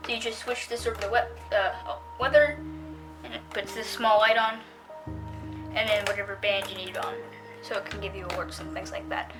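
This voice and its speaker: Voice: medium pitch, fairly even intonation, normal speed, music in the background. A female-sounding reviewer giving a casual, unscripted product demonstration, talking in a hesitant, rambling way.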